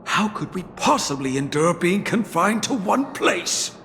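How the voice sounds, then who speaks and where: An actor playing a stern, proud priest in a game cutscene, preachy and firm. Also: male voice, sits high, sing-song, a little slow, pushed and bright, a little hiss or hum, full character voice. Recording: echoey hall reverb.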